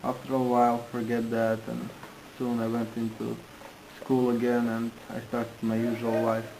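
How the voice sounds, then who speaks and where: Man, interpreter, halting phrasing, flat monotone, middle pitch. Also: noisy background. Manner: halting non-native phrasing with restarts. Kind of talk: consecutive interpreting.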